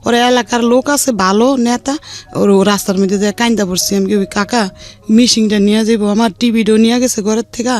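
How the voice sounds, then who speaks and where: A woman's voice: on the high side, steady tone, fairly quick, a little mellow. A middle-aged woman with a colloquial Bangladeshi accent giving a rambling, plain-spoken personal account as an interview guest. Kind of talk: personal life-story account.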